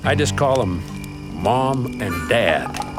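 An actor playing a folksy storyteller with a homespun drawl who closes a musical Western radio play. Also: male voice, medium pitch, animated, slow. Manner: homespun drawl, salesman's wink. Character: folksy grown son narrating an Old West tale. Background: loud music.

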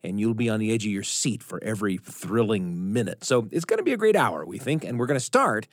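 A man's voice: on the low side, sing-song, brisk, dry recording, clean studio sound. A man hosting a radio story hour, reading a scripted introduction and stretching out key words for emphasis. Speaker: radio show host.

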